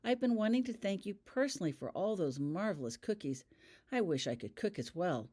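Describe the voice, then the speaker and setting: A calm, gracious young witch in an audiobook story, as a narrator reads her. She speaks politely and with composure in a mid-range voice. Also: mid-range voice, a little fast, pitched above the performer's own voice. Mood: gracious, friendly.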